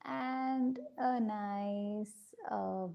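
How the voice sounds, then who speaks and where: Slow-talking drawing teacher lady, gravelly low-ish voice, calls everyone dears. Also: muffled recording. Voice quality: low-pitched voice, in her own words. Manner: gentle, patient, addresses students as dears. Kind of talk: live online drawing class.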